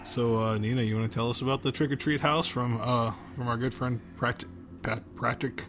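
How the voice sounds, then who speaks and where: A man's voice: mid-range voice, steady tone, fairly quick, over music. A man hosting a podcast in casual, joking chat, hesitant and restarting his sentences. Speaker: podcast host.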